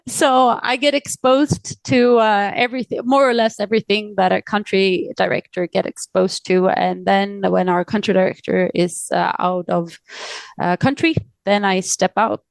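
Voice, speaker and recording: A woman's voice: medium pitch, steady tone, measured pace, creaky, no echo. A woman with a Swedish accent, answering reflectively as a podcast guest, with hesitant, searching phrasing. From Sweden. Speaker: podcast guest, aid organisation director. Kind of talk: reflective interview conversation.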